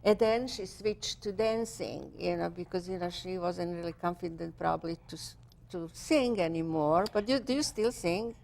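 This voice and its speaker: A woman in her sixties hosting a cable TV interview show, chatty and enthusiastic, with halting speech full of fillers, restarts and non-native phrasing. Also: on the low side, vocal fry, darkish tone. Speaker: TV show host.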